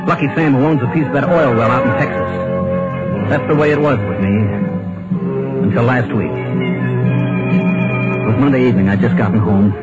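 A man's voice: mid-range voice, close to an everyday voice. An actor playing an anxious, ruined businessman, narrating in tense first person in a 1950s radio drama. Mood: haunted. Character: anxious broke businessman, middle-aged husband. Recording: muffled old radio broadcast with music.

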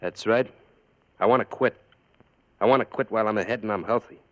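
A man plays a cool, domineering American mob boss. His voice is low, his talk clipped and sardonic, as in a vintage radio crime play. The sound is dull and close. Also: a little fast, no echo, close to an everyday voice. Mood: guarded. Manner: dry, clipped sarcasm. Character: hard, sardonic gang boss, coolly domineering. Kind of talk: 1950 radio crime drama acting. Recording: dull, dry old radio recording.

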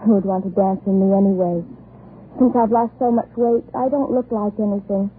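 A woman's voice: mid-range voice, steady tone, a little fast, a little hiss or hum, natural voice. An actress playing a worn-out, self-pitying young woman in a 1940s radio commercial, on a tinny old recording. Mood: weary complaining. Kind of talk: 1940s radio advert acting.

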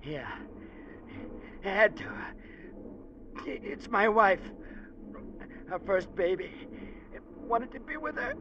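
An actor playing a badly injured, dying ship captain in an old radio drama, weak and in pain, speaking in broken phrases. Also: male voice, sits high, sing-song, slow pace, long pauses, full character voice. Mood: anguished, resigned. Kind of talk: 1940s-50s radio drama acting. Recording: muffled, noisy vintage radio recording.

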